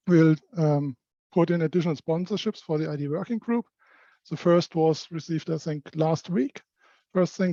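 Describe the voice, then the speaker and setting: A man gives a business update from a meeting room in a soft-edged, mellow voice that sits a little high. His delivery is halting, with non-native turns of phrase. The audio is somewhat dull, like a conference call. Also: no room sound. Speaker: working group member presenting. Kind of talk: business presentation at a community call. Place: indoor meeting room, on-site group meeting.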